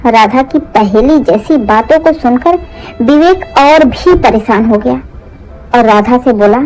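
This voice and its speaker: Female storyteller for animated family drama: scripted, dramatic, high and lively in pitch. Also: measured pace, rough recording. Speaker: story narrator. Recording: music under the voice.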